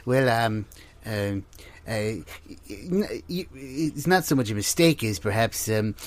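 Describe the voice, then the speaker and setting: A man's voice: fairly low pitch, expressive, a little slow. A man playing a flustered, apologetic academic researcher, stammering formally through an improvised comedy interview. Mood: flustered, hesitant.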